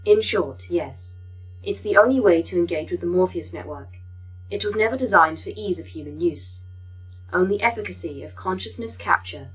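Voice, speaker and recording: An actress playing a polite, pedantic A.I. that speaks formally and precisely in a sci-fi audio drama. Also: female voice, fairly low pitch, moderate pace, faint music behind, natural voice, poor audio. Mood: formal, matter-of-fact. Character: polite, pedantic female A.I. running a facility. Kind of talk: audio fiction acting.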